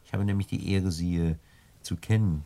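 An actor playing a suave, condescending gentleman crook in a radio play, with florid, over-polite, pedantic phrasing. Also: sits low, fairly even intonation, normal speed. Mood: scheming, silky. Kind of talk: 1960 German radio play acting.

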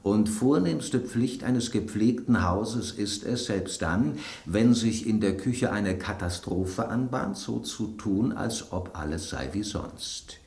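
An actor in a German radio drama playing an aging, worldly bread loaf who loves fine food, speaking in a theatrical, knowing, pointed way. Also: male voice, lowish voice, expressive, slow pace, creaky, few pauses, a little mellow, echoey room, exaggerated voice. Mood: suave, savoring. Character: aging bread loaf, male, worldly gourmet, suspicious, self-assured.

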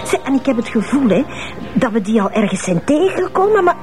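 A woman's voice: a little high, very animated, fairly quick, over music. An actress playing a spirited, outspoken policewoman with a Flemish accent, talking colloquially in a naturalistic radio drama. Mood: insistent. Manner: colloquial, exclamatory.

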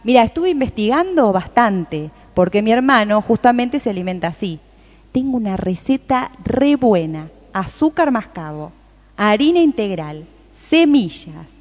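A woman playing a cheerful, dedicated home baker in a radio play, chatty and expressive, with an Argentine Rioplatense accent. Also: mid-range voice, normal speed. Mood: friendly, helpful. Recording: very echoey room with long reverb.

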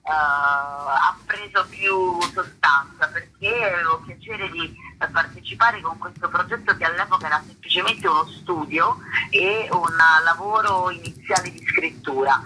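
Bright, forceful woman in a casual interview. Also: on the low side, unhurried, a little hiss or hum, low-quality recording. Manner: wordy, rephrases as she goes. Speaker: actress and playwright interviewed about her show.

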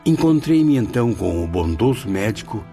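An actor playing a reflective, admiring spirit who narrates memories in formal, literary language in a radio drama. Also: male voice, on the low side, big pitch swings, moderate pace, vocal fry, warm tone, soft background music. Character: reflective, admiring spirit recalling his afterlife companions.

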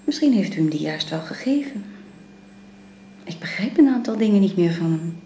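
A woman's voice: medium pitch, animated, normal speed, vocal fry, dark tone, echoey room, slight background noise. A woman acting in a radio drama as a bedridden, ailing young wife, bitter and weary, with a pointed way of talking. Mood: accusing.

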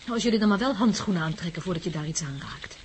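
Radio drama doctor, played by a woman: caring but firm female, lively intonation, middle pitch, faintly noisy recording. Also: fast, lightly acted. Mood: worried, scolding. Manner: cautioning, practical. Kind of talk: Dutch radio play acting.

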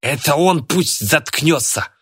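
A man voicing a cantankerous, sickly old man in an audiobook, spiteful and indignant, with a raspy, screechy voice. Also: sing-song, unhurried, a bit bright, heavily acted. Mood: shrieking anger. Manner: shrill indignant outbursts.

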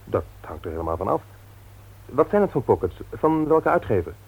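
An actor playing a frustrated young bookseller nephew in a 1960s radio drama, earnest and husky, on a dull old recording. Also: male voice, medium pitch, big pitch swings, brisk, full character voice. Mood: guarded.

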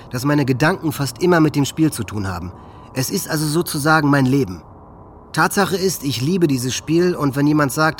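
A man's voice: on the high side, a little hiss or hum, lightly acted. A man playing a proud, troubled teenage boy who recites his own writings in a declamatory way in a radio drama. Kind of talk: dramatised reading in a radio docudrama.

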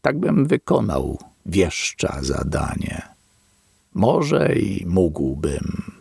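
Slow gravelly man reading solemnly. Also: deep voice, big pitch swings, darkish tone, no echo, studio quality. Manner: grave, measured, archaic phrasing with long pauses. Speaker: reader of a literary epilogue.

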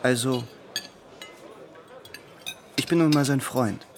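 An actor as a slow-speaking, sensitive young man. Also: medium pitch, noisy background, lightly acted. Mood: defensive. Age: young adult. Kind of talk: German radio drama acting.

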